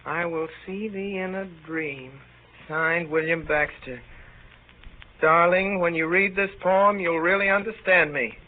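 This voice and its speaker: A man's voice: fairly high pitch, fairly even intonation, a little slow, phone-quality sound, close to an everyday voice. An actor playing a lovesick, irritable teenage boy in a melodramatic, declaiming 1930s radio style. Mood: lovesick, yearning. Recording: thin, band-limited 1930s radio recording.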